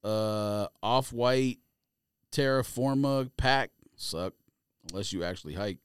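A man's voice: lowish voice, slow pace, clear, resonant tone, dry recording, clean studio sound. A man co-hosting a casual podcast, bantering and reading out items with offhand, jokey comments. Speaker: sneaker podcast co-host.

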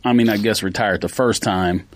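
A man's voice: on the low side, normal speed, no room sound. A man in his forties, a podcast host, chatting casually and rambling through memories, with many restarts and fillers. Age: 40s. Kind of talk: casual chat.

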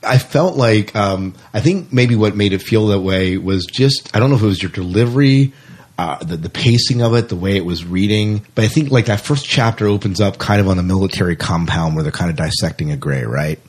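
Male podcast host, deep husky voice, casual and rambling, restarts often. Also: brisk. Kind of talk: casual interview chat.

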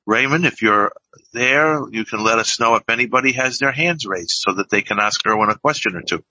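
Very bright, forceful male host. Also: mid-range voice, fairly quick, dull audio, a little hiss or hum. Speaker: program host. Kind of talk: program announcing and moderating.

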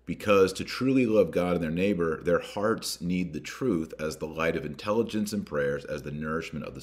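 Low-pitched male podcast host, emphatic. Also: a little mellow. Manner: emphatic, deliberate delivery with rhetorical questions. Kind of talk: religious commentary podcast, reading and commenting on a letter.

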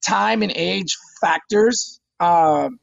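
A man's voice: high voice, drawn-out words, vocal fry, no room sound, muffled recording. A man in his thirties, a fighter talking casually in an interview, eager and often repeating words as he starts a phrase. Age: 30s. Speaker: MMA fighter guest.

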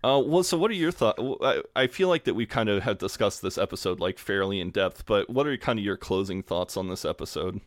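A man with a fairly low voice recaps an episode for his co-host. He tells it with lively, animated storytelling. The recording sounds dry and close, with no room around it. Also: a little fast, hardly any pauses, no echo. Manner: animated storytelling, acts out quoted lines in funny voices. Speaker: podcast co-host. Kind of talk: casual chat recapping a TV episode.

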